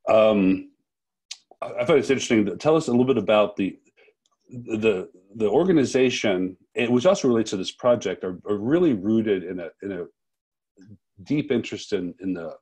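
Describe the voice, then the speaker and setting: A man hosting an unscripted interview, speaking haltingly and rephrasing his questions as he goes. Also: lowish voice, lively intonation, darkish tone, husky. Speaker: interviewer and festival host.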